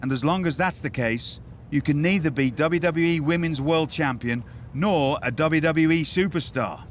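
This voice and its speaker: A man acts out a wrestling company official in a video game cutscene. His voice sits a bit high for a man, with a measured, resigned, matter-of-fact delivery and faint noise behind it. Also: lightly acted. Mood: matter-of-fact, regretful. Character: resigned male wrestling executive breaking bad news.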